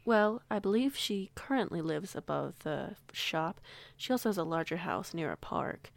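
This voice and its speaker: A woman playing a protective female werewolf pack alpha in an audio roleplay, speaking in a measured, polite, reassuring way. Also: on the low side, lively intonation, vocal fry, dry recording. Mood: pleasant, informative. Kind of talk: audio roleplay acting, conversational.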